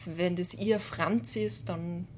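A woman's voice: on the low side, fairly even intonation, takes it slowly, a little hiss or hum. Woman in casual podcast chat. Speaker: podcast co-host.

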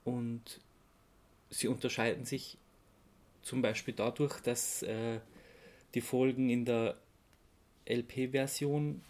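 Male podcast host, Austrian accent, conversational explainer, halting delivery with an amused edge. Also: medium pitch, flat delivery, darkish tone. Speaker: podcast host presenting research. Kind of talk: casual podcast chat, explaining research.

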